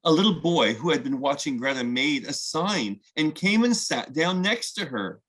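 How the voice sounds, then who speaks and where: A man hosting a children's read-aloud, telling a picture book story expressively and deliberately, inviting listeners to join in. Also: on the high side, animated, fairly bright tone.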